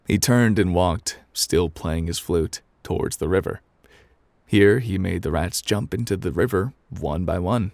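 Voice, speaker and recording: Low, creaky man reading a bedtime story, acted. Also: a little slow, darkish tone, no echo. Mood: offhand, unhurried. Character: gruff, indifferent male game character reading a bedtime story. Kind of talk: ASMR storybook narration.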